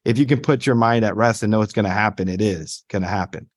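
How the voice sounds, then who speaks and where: A man's voice: fairly low pitch, fast, slightly rough. A man hosting a podcast interview who works as an attorney, asking questions in an earnest, sympathetic way. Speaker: podcast host.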